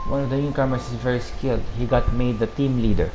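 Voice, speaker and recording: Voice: mid-range voice, steady tone, quick pace, low-quality recording. A game streamer with a Filipino accent giving casual gameplay commentary, mixing two languages. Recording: game music clearly behind.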